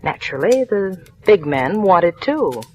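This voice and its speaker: An actress playing a coaxing, calculating femme fatale in a 1940s radio thriller, cooing and coolly persuasive. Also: female voice, fairly low pitch, big pitch swings, measured pace. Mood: cool, confiding. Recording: noisy vintage 1940s radio recording.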